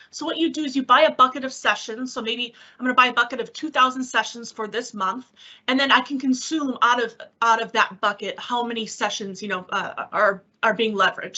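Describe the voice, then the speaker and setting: A woman giving a software demo in a conversational way, explaining things with examples and rhetorical questions over an online meeting. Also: on the high side. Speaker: technical specialist presenting a software demo. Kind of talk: webinar demo and question answering. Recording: dull, lo-fi online call audio.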